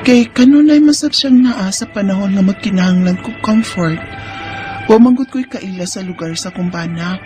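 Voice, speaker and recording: A woman's voice: mid-range voice, normal speed, warm tone, faint music behind, lightly acted. A woman with a Cebuano Bisaya accent playing a married mother, teasing yet guarded, in a radio drama with first-person narration. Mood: confiding, narrating.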